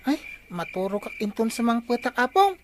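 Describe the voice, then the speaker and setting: A woman's voice: a little high, sing-song, moderate pace, darkish tone, slight background noise. A woman playing an inquisitive, cheeky young grandchild with an Ilocano accent in a radio comedy drama, chatty and questioning. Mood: eager.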